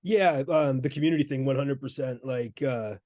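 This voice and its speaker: A man co-organizing a discussion group, musing out loud in a casual, colloquial way. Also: medium pitch, normal speed. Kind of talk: casual reflective chat.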